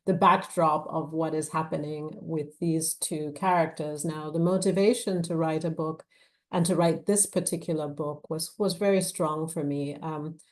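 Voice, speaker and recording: Lower-voiced woman, measured. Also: fairly even intonation. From Zambia. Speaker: novelist, guest author. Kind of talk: live author talk and reading from a novel.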